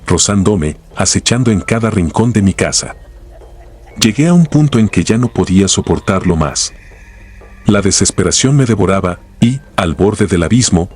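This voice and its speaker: A man narrating horror stories in a grave, measured, scripted way. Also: lowish voice, a little mellow, slightly rough.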